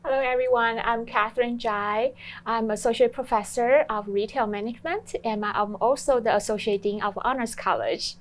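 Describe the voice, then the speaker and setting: A woman's voice: fairly high pitch, normal speed. A middle-aged woman speaking on a panel as a professor. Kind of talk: self-introduction on a panel. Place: TV studio.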